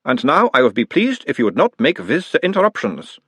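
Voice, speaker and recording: A man voicing a gentle, soft-spoken orc who talks in a quiet, patient and formal way. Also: mid-range voice, wildly swooping pitch, fairly quick, husky, no room sound, pitched above the performer's own voice, full character voice. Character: gentle, soft-spoken orc under self-hypnosis, polite.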